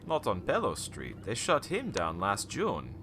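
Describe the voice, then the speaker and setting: A cynical old townsman in a radio drama, cryptic and knowing. His pitch swings wildly as he spins his tale slowly, over a faint background noise. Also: huge pitch swings. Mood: sly, probing. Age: older. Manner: cryptic, theatrical, rambling storytelling with knowing chuckles. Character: wary, cynical old male townsman, former shopkeeper, storyteller. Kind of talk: radio play acting.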